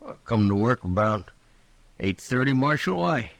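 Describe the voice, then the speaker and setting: A poor, simple-hearted drifter in an old radio western. He has a mid-pitched voice and a rural American accent. His speech is halting and artless, with a frightened, pleading edge. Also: very animated, creaky, dry recording. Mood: puzzled. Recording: vintage radio drama recording.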